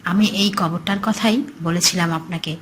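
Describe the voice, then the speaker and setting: A woman's voice: fairly low pitch, steady tone, moderate pace, slight background noise, close to an everyday voice. A woman voicing a blunt, scoffing, money-minded male graveyard night guard in a horror cartoon story. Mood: matter-of-fact.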